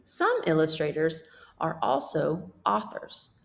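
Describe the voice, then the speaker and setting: A woman's voice: sits low, wildly swooping pitch, takes it slowly, vocal fry. A woman teacher reading a picture book aloud as a lesson for children, explaining things simply and checking in with questions.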